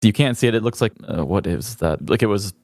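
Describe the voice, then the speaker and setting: A man's voice: on the low side, lively intonation, a little fast, a little mellow, dry recording, clean studio sound. A man co-hosting a podcast, chatting casually and reading out bits of trivia. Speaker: podcast co-host.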